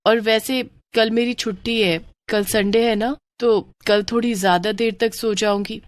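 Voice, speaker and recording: A woman's voice: a little high, fairly even intonation, unhurried, close to an everyday voice. A woman voicing a tired, dutiful young working daughter in a cartoon story, reassuring in manner. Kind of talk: cartoon moral story acting.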